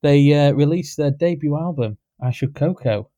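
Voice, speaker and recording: A man's voice: fairly high pitch, normal speed, mellow. Male host, thirties, chatty and jokey, remote podcast. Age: 30s. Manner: jokey, laughs often, rambling. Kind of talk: casual chat. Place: home, recording remotely.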